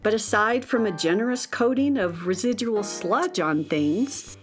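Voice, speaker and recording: Woman as a calm children's storyteller, mid-pitched. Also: a little slow, close to an everyday voice. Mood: calm narration. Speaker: narrator. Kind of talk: scripted children's story narration. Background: faint music.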